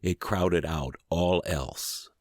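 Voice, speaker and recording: A middle-aged man reading aloud as scripted audiobook narration, in an earnest, measured and expressive manner. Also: low voice, no echo, studio quality.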